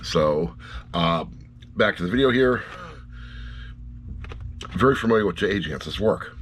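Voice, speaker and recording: A man's voice: low voice, lively intonation, unhurried, a little hiss or hum. A middle-aged man giving a casual, rambling review to camera in a blunt, wry way, often repeating phrases for emphasis. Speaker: audiobook reviewer.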